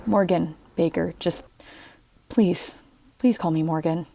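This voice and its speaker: A woman's voice: on the low side, steady tone, slow, creaky, mellow, slight background noise. A woman playing a bitter, self-blaming navy veteran submarine captain in an amateur audio drama.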